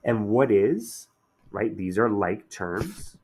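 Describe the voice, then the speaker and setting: A man teaching a math lesson in a relaxed, conversational way, slightly hoarse after a day of shouting, often checking in with "okay?". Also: lowish voice, slow, slight background noise.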